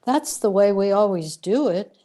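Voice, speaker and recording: A mellow, soft-edged female voice of average pitch from an actress, playing a cosmic guide in a sci-fi audio comedy. She explains the afterlife's trickery in a matter-of-fact way. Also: expressive, normal speed, lightly acted.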